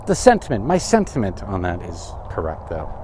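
A man's voice: sits low, wildly swooping pitch, moderate pace, background noise. A man in his thirties vlogging a walking tour outdoors, chatting casually with wry asides and asking himself questions. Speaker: travel vlogger. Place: outdoors in open desert. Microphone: camera or phone mic, handheld.

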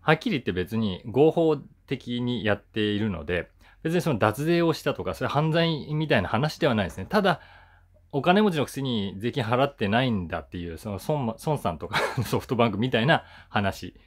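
Male, 40s, casual solo commentary, conversational and musing, very lively intonation. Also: medium pitch, fairly quick. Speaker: voice actor hosting his own talk channel. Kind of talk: casual chatty commentary to camera.

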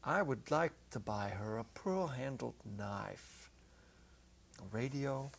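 A middle-aged man narrating a short story as a dramatic reading, theatrical, with deliberate pauses and shifts in intensity. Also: medium pitch, expressive, vocal fry, husky. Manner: theatrical, varying from hushed to emphatic, with deliberate pauses. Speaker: narrator, reader. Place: indoor stage set, seated at a music stand. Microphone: clip-on lapel mic.

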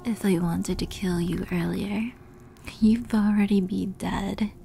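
A woman voicing a seductive, menacing female demon who toys with her prey in a teasing, breathy ASMR roleplay whisper. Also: mid-range voice, steady tone, slow pace, mellow, slight background noise. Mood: predatory, seductive.

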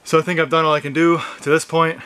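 A man's voice: fairly high pitch, flat delivery, fast, fairly bright tone, smooth, no echo. A man in his thirties talking easily to a handheld camera at arm's length, thinking aloud inside a greenhouse on a snowy farm. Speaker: homesteading vlogger. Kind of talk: casual vlog talk to camera.

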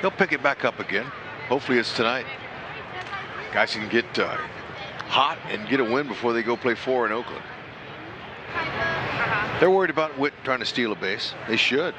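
A man's voice: mid-range voice, moderate pace, pauses often, noisy background. A man giving baseball color commentary in a folksy way, often stopping and restarting his sentences. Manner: folksy, animated, with repeated restarts.

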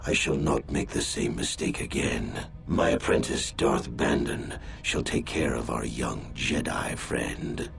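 An actor playing a menacing, imperious Sith lord in a video game, with a gravelly voice. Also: male voice, extremely low pitch, fairly even intonation, unhurried, few pauses, heavily acted. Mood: cold menace. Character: menacing Sith lord villain, cold commanding master. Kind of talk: scripted video game acting. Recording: music behind the voice.

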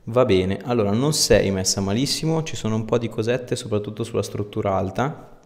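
A man's voice: fairly low pitch, brisk, darkish tone. A man, a chiropractor giving a patient informal, reassuring hands-on instructions. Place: small treatment room, indoors. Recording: echoey small room with background noise.

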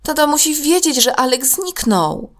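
A woman's voice: sits high, very animated, unhurried, voice pushed up. A woman voicing a bossy, upset young girl in a children's story, speaking indignantly and emphatically. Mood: frightened.

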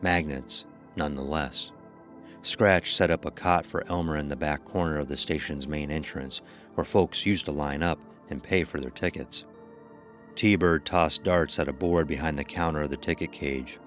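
A man's voice: a bass voice, normal speed, soft background music. A man narrating a scripted horror story as the narrator of an audio drama.

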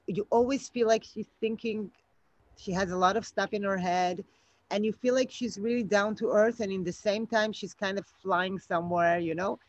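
A woman answers interview questions, pausing often and searching for words. Her voice comes through a dull, lo-fi video call, dry and close. She sits outdoors at night, talking into a laptop. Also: medium pitch, no room sound. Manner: hesitant, thoughtful, many fillers. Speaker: film director. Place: outdoors at night, home garden. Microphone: laptop webcam video call.

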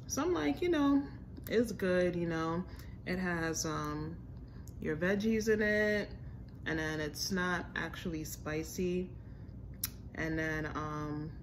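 Low-voiced young American woman, chatty. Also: slight background noise. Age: young adult. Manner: informal, chatty, slangy. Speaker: food vlogger. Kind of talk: casual chat, restaurant review. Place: indoors in a home room with a staircase.

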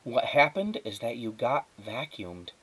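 A man's voice: mid-range voice, big pitch swings, unhurried, fairly bright tone, slightly rough, heavily acted, poor audio. A man voicing an emphatic, cynical young girl. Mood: explaining. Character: cynical, earnest young girl, bossy and excitable.

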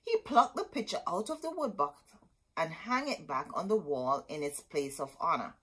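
A middle-aged woman reads a history picture book aloud for children. Her voice sits a little low and sounds husky, with very wide swings in intonation. The delivery is dramatic and emphatic, like a storyteller. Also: very animated, moderate pace, a bit bright. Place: indoor room with bookshelves.

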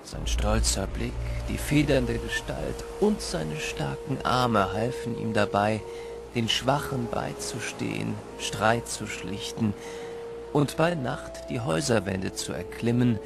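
Narrator: reflective storyteller, slow and creaky, middle pitch, measured audio drama delivery. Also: male voice, over music. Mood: storytelling. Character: wistful male storyteller recalling his boyhood.

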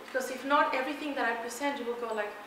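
A middle-aged woman from Mexico giving an energetic, emphatic motivational talk to students, laughing often as she walks around. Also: high-pitched, very fast, background noise. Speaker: keynote speaker. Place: large lecture hall. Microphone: far room pickup. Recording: echoey hall from far off.

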